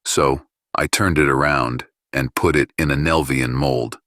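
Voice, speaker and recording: A man's voice: very deep voice, fairly even intonation, unhurried, fairly bright tone, no echo, clean studio sound, exaggerated voice. A man voicing a sheepish, hesitant male courier pilot in audiobook dialogue. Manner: hesitant, embarrassed.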